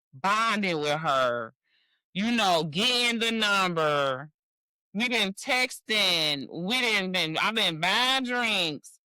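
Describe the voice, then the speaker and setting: Forties woman ranting to camera, bright smooth voice, dry close sound, calls the viewer sis. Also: medium pitch, no echo, low-quality recording. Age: 40s. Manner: blunt and direct, talks straight to the viewer as "sis". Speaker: vlogger. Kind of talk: opinionated commentary on a news story.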